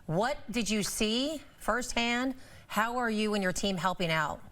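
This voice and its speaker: A woman's voice: medium pitch, animated, a little slow, a bit bright. A woman news anchor asking empathetic, conversational questions. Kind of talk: conversational interviewing.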